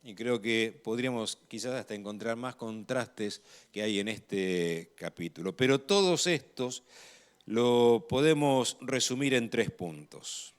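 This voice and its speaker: A man with an Argentine accent preaching a sermon in a measured, emphatic way, growing louder on key phrases. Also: medium pitch, animated, clean tone.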